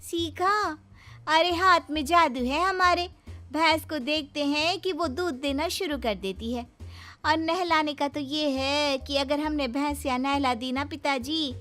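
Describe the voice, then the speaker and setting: A very high, bright young bride, played by a woman, boastful and dramatic. She talks at an unhurried pace in a theatrical, chatty cartoon style. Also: soft background music, exaggerated voice. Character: boastful, dramatic young newlywed daughter-in-law. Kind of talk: cartoon moral-story acting.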